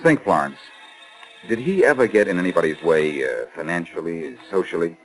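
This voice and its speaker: An actor playing a wry, self-assured amateur sleuth with an American accent in 1940s radio drama style. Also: male voice, deep voice, animated, moderate pace, faint music behind, rough recording. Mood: probing, considerate. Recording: remastered 1940s radio recording.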